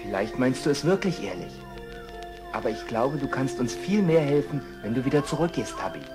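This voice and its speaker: An actor playing a conciliatory jungle animal counsellor, advising in a measured way in a children's cartoon radio play. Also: male voice, mid-range voice, animated, music in the background, close to an everyday voice. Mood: kindly, serious.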